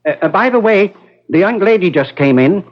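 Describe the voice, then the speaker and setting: An actor playing a helpful, chatty, sympathetic hotel desk clerk in a radio drama. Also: male voice, high-pitched, expressive, fairly quick. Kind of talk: 1970s radio drama acting. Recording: dull lo-fi old radio recording.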